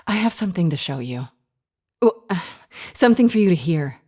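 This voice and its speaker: A woman's voice: fairly low pitch, very animated, a little mellow. A woman playing an anxious, earnest grief counselor in an audio drama, speaking in a hesitant, insistent way. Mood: anxious, urgent. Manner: hesitant, halting, earnest pleading.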